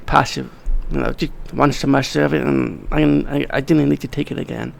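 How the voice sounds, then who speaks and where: A middle-aged man talking as a podcast guest in casual conversation, blunt, swearing freely, with halting restarts. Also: medium pitch, fairly even intonation, gravelly, slight background noise. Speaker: podcast guest, wedding videographer.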